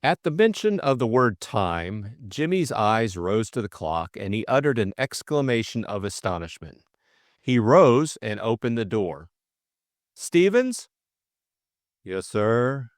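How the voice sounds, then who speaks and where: Male audiobook narrator, low-ish and lively. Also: measured pace, no echo, clean studio sound. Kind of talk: scripted storybook narration.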